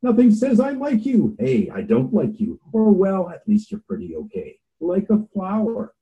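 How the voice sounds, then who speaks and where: A man's voice: sits high, wildly swooping pitch, moderate pace, warm tone, dull audio, pitched above the performer's own voice. A man voicing a playful male promo announcer, reading a scripted demo with a bouncy, sing-song delivery.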